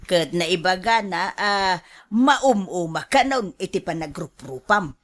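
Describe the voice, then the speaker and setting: An actress with an Ilocano accent playing a teasing, scheming, flirty younger woman in a radio drama, with playful, drawn-out delivery. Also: on the low side, lively intonation. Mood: gossipy, discouraging.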